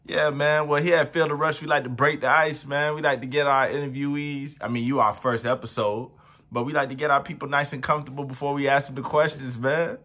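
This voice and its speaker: A young man hosts a casual interview with a bright, forward voice. He keeps it easygoing and slangy, tossing in "man" at the end of his questions. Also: mid-range voice, fairly even intonation, fairly quick. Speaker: interview host.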